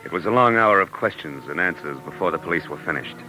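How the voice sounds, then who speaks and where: A fast, hard-boiled radio detective. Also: male voice, low voice, lively intonation, rough recording. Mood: grim narrating. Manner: clipped, commanding, tough-talking. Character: hard-boiled, tough male private detective, brisk and wry. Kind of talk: 1940s radio detective drama acting and narration. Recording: 1940s radio recording with music behind.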